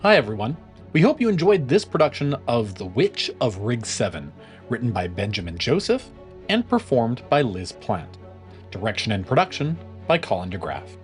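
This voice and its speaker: A man hosting a story show, reading a scripted announcement addressed to listeners. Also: medium pitch. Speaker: host reading a show outro.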